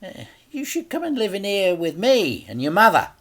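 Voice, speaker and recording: A man's voice: sits high, wildly swooping pitch, moderate pace, a bit bright, pitched above the performer's own voice, exaggerated voice. A man voicing a nasty little crime boss in a children's storybook, self-important and taunting with a drawl. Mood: smug.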